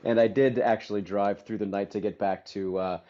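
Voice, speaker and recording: A hoarse, tired man walks an audience through a technical webinar with casual energy and plenty of fillers. His voice sits mellow and dark. The audio sounds muffled and dull. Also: fairly low pitch, steady tone, moderate pace. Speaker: product development director presenting a webinar.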